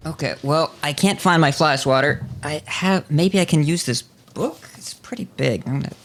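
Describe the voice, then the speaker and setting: A man's voice: on the high side, moderate pace, slight background noise. A man hosting a podcast, talking conversationally in wordy, thought-out phrases. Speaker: podcast host. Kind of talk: conversational interview.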